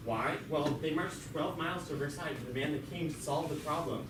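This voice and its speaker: A man's voice: fairly high pitch, brisk, close to an everyday voice. A man playing a talkative jailed revolutionary who narrates his story in an amateur puppet show with sardonic, stumbling asides. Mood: sardonic storytelling. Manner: conversational, sardonic asides, stumbling over words. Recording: echoey, very noisy, distant mic.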